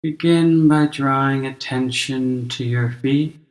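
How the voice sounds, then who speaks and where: A man leading a guided meditation as a teacher, speaking gently and in a measured, instructional way with long pauses. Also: medium pitch, steady tone, roomy sound.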